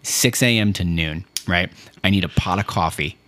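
Male co-host for a comedic chat podcast, low-leaning voice, loose slangy delivery. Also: moderate pace, bright, forceful tone. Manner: informal slangy speech, says "dude" and "like" often.

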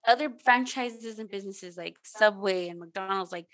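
A woman's voice: mid-range voice, moderate pace, over a noisy crowd. A woman co-hosting a casual chat, rambling with lots of fillers and restarts. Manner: rambling, filler-heavy with many "like"s. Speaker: podcast co-host.

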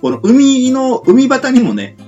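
A man hosts a loose, chatty radio show. His intonation leaps up and down wildly as he tells things like little acted scenes, with soft guitar music underneath. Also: very high-pitched, slow, lo-fi sound. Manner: animated storyteller, acts out imagined reactions. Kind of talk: casual chat. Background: background guitar music.

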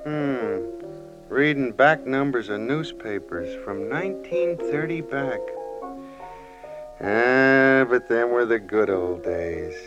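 A man's voice: mid-range voice, lively intonation, takes it slowly. An actor playing a folksy, nostalgic barman pal in an old-time radio drama, with ungrammatical, musing talk. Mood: wistful. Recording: muffled old radio recording with music.

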